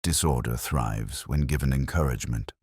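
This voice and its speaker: A man voicing a polite, unflappable police inspector in a storybook mystery, gentle and precise. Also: extremely low pitch, moderate pace, breathy edge, no room sound, clean studio sound, pitched below the performer's own voice. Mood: calm, inquiring. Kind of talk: storybook character dialogue.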